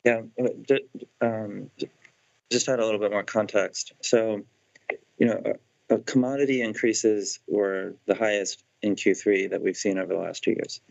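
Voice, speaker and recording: A man with a low, gravelly voice explains figures as a finance executive. He is measured and careful with his claims. The call audio sounds somewhat dull. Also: steady tone. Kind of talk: explanatory earnings-call answers.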